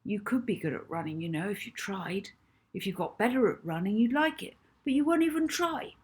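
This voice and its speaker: Earnest schoolboy for a children's audiobook, acted by a woman; irritated, coaxing, breathy-edged. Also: medium pitch, expressive, fairly quick, voice pushed up. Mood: exasperated. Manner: irritated, exasperated, urging. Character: earnest, exasperated schoolboy, coaxing his friend.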